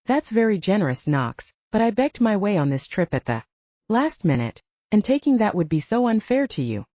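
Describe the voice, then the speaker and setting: A woman's voice: mid-range voice, big pitch swings, normal speed, dark tone, no echo, muffled recording. A woman voicing a proud, considerate granddaughter in an audiobook, polite but stubborn.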